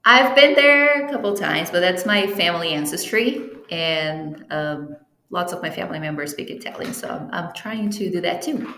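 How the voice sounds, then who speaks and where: A woman's voice: lowish voice, expressive, moderate pace, pushed and bright. Portuguese-native woman, chatty, in an echoey room. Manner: chatty, with frequent fillers and restarts. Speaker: interview guest, team travel planner. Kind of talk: casual interview chat.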